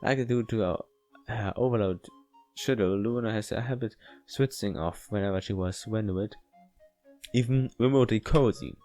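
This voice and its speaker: A man with a non-native English accent reads a visual novel aloud as a let's play host, haltingly, with casual asides. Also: fairly low pitch, mellow, soft background music. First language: not English. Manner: halting, stumbling reading.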